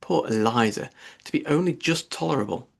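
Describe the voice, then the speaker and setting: A man's voice: medium pitch, lively intonation, fairly quick, creaky. Man voicing a gossipy, indignant mother, swooping intonation. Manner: effusive, opinionated, exclamatory. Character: gossipy, indignant middle-aged English mother. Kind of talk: audiobook character dialogue.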